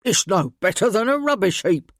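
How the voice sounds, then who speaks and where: Man voicing a gruff belligerent rat-catcher. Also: mid-range voice, expressive, moderate pace, no echo, studio quality, voice pushed up, lightly acted. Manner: coarse, gruff working-class speech.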